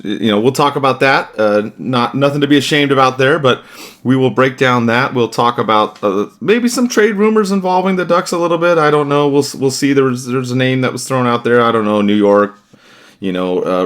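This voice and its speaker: A man hosting a sports podcast, chatting casually and rambling with restarts and fillers. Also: medium pitch. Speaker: podcast host.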